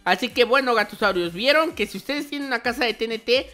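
A man with a bright, forceful voice commentates on a game as its host. He is playful and exclamatory, often repeating words in quick bursts. Music plays behind him. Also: extremely high pitch. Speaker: host of a gaming video channel. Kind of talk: excited gameplay roleplay commentary.

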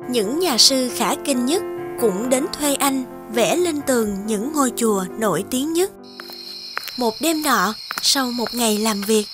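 A woman reading scripted storybook narration for a cartoon. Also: a little high. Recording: music behind the voice.

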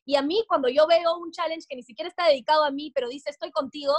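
A woman's voice: sits high, fast talker. A young woman hosting a casual interview over a video call from home, effusive and emphatic. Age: young adult. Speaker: radio show host, interviewer. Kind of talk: casual, enthusiastic interview chat. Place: room at home, indoors.

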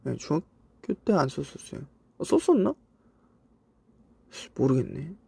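A young man hosts a voice-only livestream, chatting and reminiscing with a faint Cheongju accent. His voice is mellow and gravelly, with a husky edge, recorded dry and close. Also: a little high, unhurried, dry recording. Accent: Cheongju dialect traces in Korean. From South Korea. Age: young adult. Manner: relaxed, reminiscing, soft asides. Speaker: idol livestream host. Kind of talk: casual livestream chat reading comments. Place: indoors, voice-only stream.

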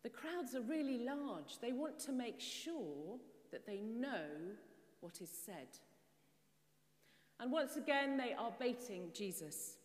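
Middle-aged woman priest; measured sermon, higher voice, long church reverb. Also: very animated. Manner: measured, explanatory preaching with rhetorical questions. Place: stone church, at a pulpit. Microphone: far camera.

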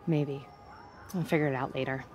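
A woman's voice: rumbling low, fairly even intonation, moderate pace, darkish tone, a little hiss or hum. An actress playing a tough, no-nonsense woman police captain with a dry, curt manner. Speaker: police officer.

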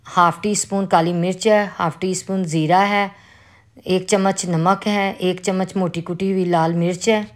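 Cooking host, woman, Pakistani Urdu accent, lowish voice, calm instructive delivery. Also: a little slow. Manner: calm, instructive, with religious greetings. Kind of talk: step-by-step recipe narration. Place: home kitchen.

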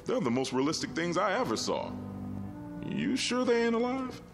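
A folksy, talkative working man with a fairly high voice that leaps widely in pitch. He chatters on in plain speech. Music runs underneath this vintage TV drama soundtrack. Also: sing-song, moderate pace. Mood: amazed. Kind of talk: 1960s TV drama acting.

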